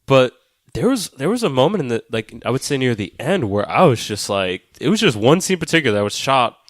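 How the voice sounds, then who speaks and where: A man's voice: medium pitch, sing-song, a little fast, no echo, studio quality. A man co-hosting a film podcast, casually arguing his points with swearing and emphatic repetition.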